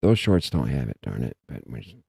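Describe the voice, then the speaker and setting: A man's voice: a bass voice, very animated, brisk, vocal fry, warm tone, breathy edge, dry recording. A man hosting a casual monologue, rambling and interrupting himself as he muses aloud, recorded close and dry. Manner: meandering, self-interrupting, musing aloud. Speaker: host.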